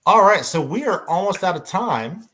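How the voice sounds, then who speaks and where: A man's voice: sits high, very animated, normal speed, fairly bright tone. Man hosting an informal fan panel. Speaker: panel host.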